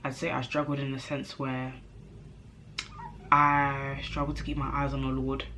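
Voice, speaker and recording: A young woman vlogging to camera in a chatty, animated way, her nose a bit stuffy from a cold. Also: a bass voice, normal speed, slight background noise. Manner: chatty, animated, self-interrupting, slangy. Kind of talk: casual chat to camera.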